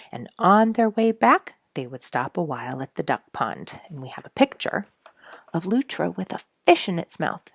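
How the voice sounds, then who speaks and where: A woman with a low voice hosts a children's read-aloud. Her intonation is very animated, with an encouraging way of addressing young listeners. The sound is dry, with little room around it. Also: no room sound. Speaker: story reader and host. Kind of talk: read-aloud children's storybook with casual asides.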